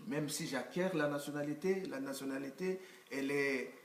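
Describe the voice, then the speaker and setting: A man's voice: fairly high pitch, normal speed, slight background noise. A man from the Pool region of Congo, arguing his point insistently as an interview guest. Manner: insistent, grows emphatic and laughing when challenged. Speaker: association member, guest. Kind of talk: debate-style interview. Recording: echoey room.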